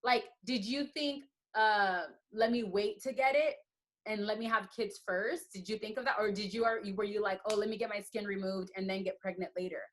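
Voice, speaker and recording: A woman's voice: mid-range voice, normal speed. A woman in her late twenties hosting a podcast, asking questions in a chatty, casual way. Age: 20s. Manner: chatty, asks questions, uses "you know". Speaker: podcast host. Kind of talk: casual interview chat.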